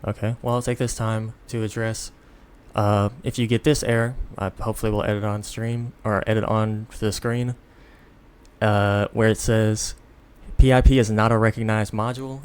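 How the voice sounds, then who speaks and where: A tired man giving a casual, unscripted software tutorial in a rambling, self-deprecating way. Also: fairly low pitch, flat delivery, normal speed, clean tone. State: tired, sleepy. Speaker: tutorial host.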